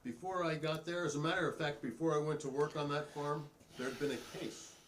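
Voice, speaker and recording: A man's voice: fairly high pitch, steady tone, fairly quick, fairly bright tone, slight background noise, close to an everyday voice. A man playing a plainspoken, wry printer testifying politely and pointedly in a dramatic reading of a 1950s hearing. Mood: earnest, indignant.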